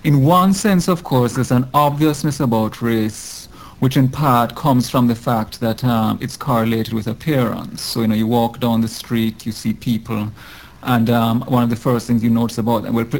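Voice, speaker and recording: A man from Jamaica with a Jamaican accent, a guest professor explaining ideas in a measured, thoughtful way with frequent pauses and ums. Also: medium pitch, gravelly. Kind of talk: thoughtful academic discussion.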